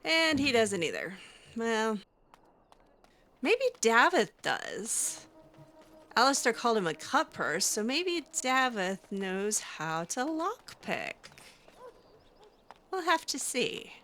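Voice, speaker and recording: A woman's voice: on the high side, very animated, takes it slowly, pauses often, pushed and bright, slight background noise. A woman improvising in character during a game playthrough, talking casually and hesitantly with dry sarcasm. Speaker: gaming host role-playing her character.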